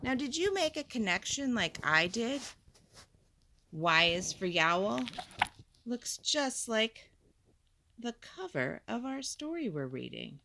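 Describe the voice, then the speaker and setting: Female storyteller for kids: lively swooping delivery, clear dramatic emphasis, forward bright tone, chatty asides. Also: a little high, slight background noise. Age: adult. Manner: deliberate, dramatic emphasis, chatty questions to listeners. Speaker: storyteller, teacher reading to children. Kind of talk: expressive picture book read-aloud with asides.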